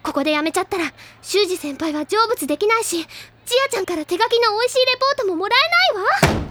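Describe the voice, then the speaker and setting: An excitable, very high-voiced schoolgirl. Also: fast talker, very noisy. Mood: determined. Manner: earnest, theatrical, girlish. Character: excitable, fanciful, stubborn high school senior girl. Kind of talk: audio drama dialogue, anime-style acting.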